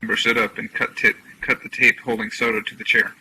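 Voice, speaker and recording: A man with a gravelly voice reading scripted story narration as narrator in a drama. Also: fairly low pitch, level tone, brisk, sharp tone. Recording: distant mic with background noise.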